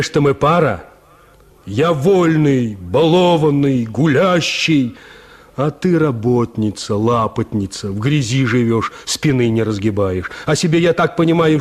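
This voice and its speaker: Radio play huntsman male actor: proud, dismissive peasant, mid-range voice, unhurried folksy speech. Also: medium pitch, darkish tone, natural voice. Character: vain, callous peasant huntsman scorning his unloved wife. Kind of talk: Russian radio drama acting.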